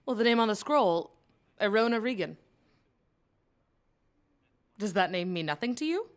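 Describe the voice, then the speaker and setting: A woman's voice: mid-range voice, animated, normal speed, lightly acted. A woman playing a tart-tongued young mage. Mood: wary. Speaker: mage and theology student. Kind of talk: audio drama acting.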